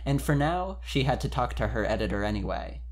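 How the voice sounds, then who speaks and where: A man's voice: fairly low pitch, big pitch swings, brisk, vocal fry. A man hosting a dramatic fan fiction reading, with casual commentary. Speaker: host and fan fiction reader.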